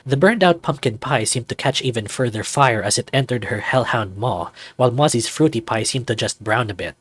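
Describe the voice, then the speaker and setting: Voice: medium pitch, expressive, fairly quick, breathy edge, no room sound. A video host narrating a visual novel aloud expressively and addressing viewers casually.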